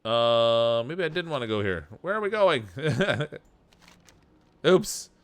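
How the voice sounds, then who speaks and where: A man's voice: mid-range voice, lively intonation, slow, clear, resonant tone. A man streaming a game live, chatting casually, laughing often and answering his viewers as he plays. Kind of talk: casual live gameplay commentary.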